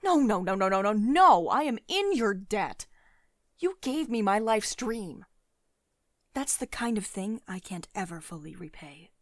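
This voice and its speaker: A woman's voice: medium pitch, lively intonation, a little slow, no room sound. A woman acting a devoted lady CEO, close and dry. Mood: agitated, protective. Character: commanding, protective female tech CEO, secretly devoted and tender. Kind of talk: ASMR girlfriend roleplay, intimate acted monologue.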